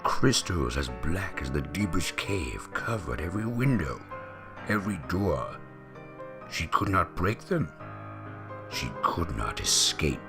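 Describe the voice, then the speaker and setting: A man with a deep, gravelly voice narrating a story in a theatrical, deliberate manner, imitating a grand veteran voice actor. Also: sing-song. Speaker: narrator of fan fiction readings. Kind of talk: dramatic scripted story narration. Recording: music behind the voice. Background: background music.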